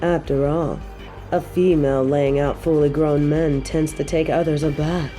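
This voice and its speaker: Evil queen played by a woman, audio drama: unhurried, imperious, taunting, with a dark, mellow tone over music. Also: low-pitched, fairly even intonation. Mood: condescending, taunting. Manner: slow, taunting, imperious, with breathy drops to a whisper. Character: evil, possessive, haughty adult queen and dictator. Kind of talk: scripted audio roleplay drama.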